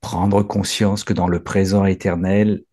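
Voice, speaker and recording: A man's voice: deep voice, flat delivery, measured pace. Fervent man leading a prayer circle. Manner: fervent, warm encouraging responses. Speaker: prayer group leader.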